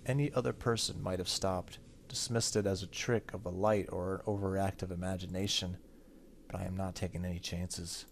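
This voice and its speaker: A man's voice: sits low, fairly even intonation, moderate pace, creaky, slight background noise. A man playing a horror game, reading its story text aloud dramatically and commenting casually. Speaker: gaming YouTuber. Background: game music.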